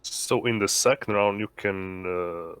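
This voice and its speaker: A man taking part in a tabletop game, talking casually in halting, hesitant phrases. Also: deep voice, a bit bright, clean tone. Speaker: tabletop RPG player. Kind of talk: casual rules discussion.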